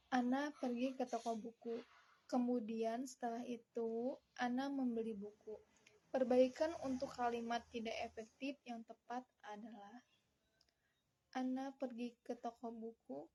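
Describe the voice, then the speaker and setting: The kind of voice heard in a student's class assignment presentation: a young woman, fairly high and very mellow, formally reciting her prepared text phrase by phrase. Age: young adult. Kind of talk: scripted presentation, reading aloud.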